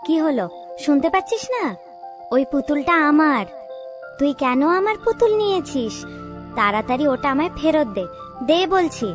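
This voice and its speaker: A woman plays the ghost of a small girl in a cartoon ghost story. The voice is very high, with a jealous, threatening edge, scolding as it goes. Soft music sits underneath. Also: very animated, normal speed, long pauses. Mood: angry, demanding.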